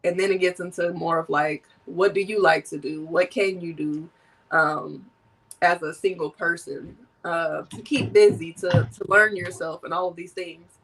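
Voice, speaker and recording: Woman host with a cold, nasal, lively and laughing, heard a little off-mic. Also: on the low side, moderate pace, recorded from a distance, rough recording. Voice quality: animated and laughing often. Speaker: podcast host. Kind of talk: casual conversation.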